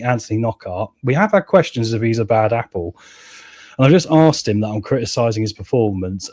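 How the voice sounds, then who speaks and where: A man with a cold and a hoarse voice, chatting casually to viewers as he plays a football management game and reads out live chat. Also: mid-range voice, darkish tone, no room sound. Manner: chatty, keeps addressing viewers as guys and mate. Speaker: gaming channel host.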